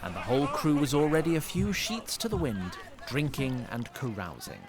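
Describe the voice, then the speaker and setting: An actor playing a wry storyteller, reading scripted fantasy audio drama narration. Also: male voice, medium pitch, huge pitch swings, normal speed. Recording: clear music behind.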